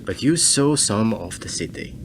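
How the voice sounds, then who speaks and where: Man playing a low-voiced, probing foreign diplomat. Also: animated, moderate pace. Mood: cool, probing. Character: male foreign diplomat, suave, probing, coolly polite interrogator. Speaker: actor in a radio play. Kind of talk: radio drama acting. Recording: noisy radio recording with background ambience.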